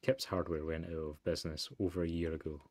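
A man's voice: rumbling low, level tone, fast talker, a little mellow. A man reading scripted narration as a storybook narrator in a mystery game. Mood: measured. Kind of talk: scripted narration read aloud.

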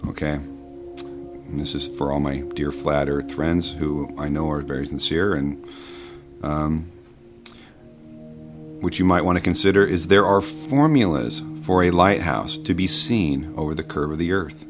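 A man giving an informal explanatory voice-over, conversational and halting, patiently reasoning through his points. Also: very deep voice, music in the background. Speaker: video narrator explaining science.

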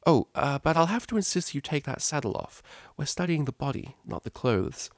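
A man voicing a kindly, easygoing older female pony who teaches art, with a gentle, friendly manner. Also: on the high side, lively intonation, moderate pace, no echo, clean studio sound, voice pushed up. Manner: gentle, friendly, affectionate address.

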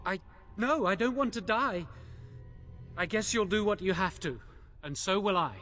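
A man's voice: high voice, lively intonation, normal speed, pushed and bright, faint music behind. An actor playing a guilt-ridden young male mage prisoner in a fantasy video game, hesitant and pleading. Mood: anxious, reluctant.

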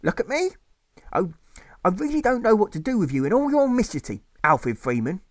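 A man voicing a fussy, anxious widowed mother in a high, pleading falsetto. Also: huge pitch swings, normal speed, dry recording.

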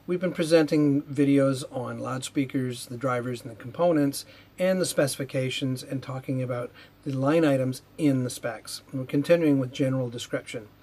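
A man in his fifties presents technical material to camera in a studio. His voice sits at a middle pitch with a slightly husky grain. He explains things in a measured, matter-of-fact way, as an instructor would. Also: mellow. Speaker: presenter, loudspeaker R&D manager. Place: indoor video studio with whiteboard.

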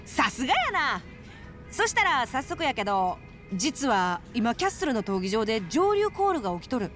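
A woman's voice: on the high side, normal speed, faint music behind. A woman voicing a brash, coaxing female fight promoter with a Kansai accent in a video game. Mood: brisk, persuasive. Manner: animated, coaxing, emphatic. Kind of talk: video game cutscene acting.

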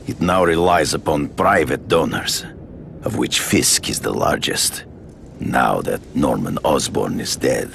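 A man voicing a proud, grim hunter villain in a video game, gravelly, formal and menacing. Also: extremely low pitch, a little slow, background noise, heavily acted. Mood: matter-of-fact.

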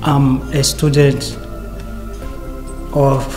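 A man from the Comoros, a Comorian native and preparatory student, speaking with halting phrasing. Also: medium pitch, little pitch movement, over music. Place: gallery hall indoors.

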